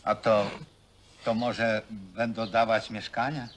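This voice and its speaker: Aggrieved male builder: lowish voice, grumbling, plain speech, old film with faint noise. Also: flat delivery, slow pace. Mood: curious. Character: aggrieved, plain country-born builder living in workers' hostel. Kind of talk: naturalistic film acting.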